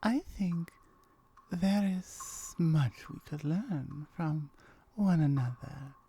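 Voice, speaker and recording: A woman voicing a lonely, guarded gorgon in ASMR roleplay, speaking softly in hesitant, formal phrases that often drop near a whisper. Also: sits low, breathy edge, full character voice. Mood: intrigued, tentative. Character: lonely, guarded female gorgon, wary yet curious.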